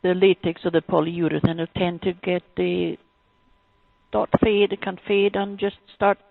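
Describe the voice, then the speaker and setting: A woman with a gravelly edge gives matter-of-fact advice over a phone. The line is narrow and muffled, with a dry, close sound and no room around it. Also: fairly low pitch, level tone, normal speed, no echo. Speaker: sexual health helpline adviser.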